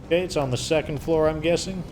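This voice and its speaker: Hoarse man rambling through game commentary. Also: on the high side, fast talker, background noise. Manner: rambling, filler-heavy explaining. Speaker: let's play host. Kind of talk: casual gameplay commentary.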